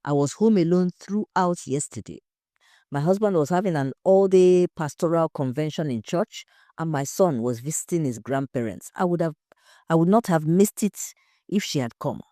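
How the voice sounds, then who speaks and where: Woman acting an emphatic, expressive married lady. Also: low voice, normal speed, no echo, clean studio sound. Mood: defensive, insistent. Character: gracious married hostess, grieving friend, defensive when questioned.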